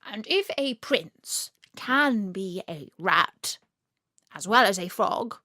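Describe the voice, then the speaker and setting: A woman's voice: medium pitch, animated, slow, pushed and bright, no room sound, clean studio sound. A woman voicing a noble, self-important male frog who speaks like a proud prince in a children's storybook. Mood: proud, earnest.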